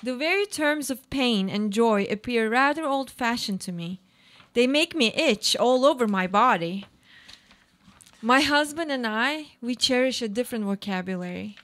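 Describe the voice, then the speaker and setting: An actress playing a brooding, cynical, uneasy married woman in a literary radio play, speaking in a deliberate, poetic way. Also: fairly high pitch, expressive, fairly bright tone. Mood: disdainful.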